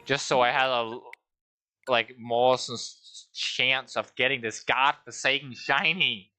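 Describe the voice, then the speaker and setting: A man's voice: medium pitch, fairly even intonation, slow, bright, forceful tone, soft background music, poor audio. A man streaming a game, rambling and joking at himself as he talks through it. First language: Danish. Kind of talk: casual game livestream commentary.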